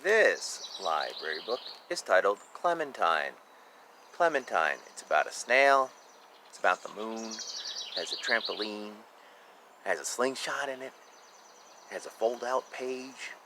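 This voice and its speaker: A man's voice: on the low side, sing-song, a little slow, background noise. A man reading a picture book aloud expressively, with informal asides about the illustrations. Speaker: reader of a children's picture book.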